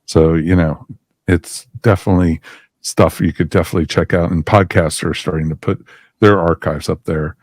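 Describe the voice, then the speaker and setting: Very low-voiced male podcast host. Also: fairly even intonation, moderate pace, a little mellow, no room sound, studio quality. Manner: rambling, many fillers. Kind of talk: casual podcast chat.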